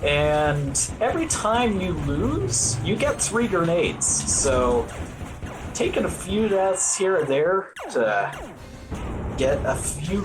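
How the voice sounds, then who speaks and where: A man commentates a speedrun in a casual, rambling manner. His pitch moves around animatedly while the pace stays on the slow side. Game music plays clearly behind him. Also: rough recording. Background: video game music.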